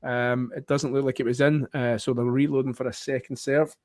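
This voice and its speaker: A man's voice: medium pitch, level tone, brisk, no room sound, clean studio sound. A Scottish man giving chatty live play-by-play tennis commentary for an online watchalong, with friendly asides to his co-host. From Scotland.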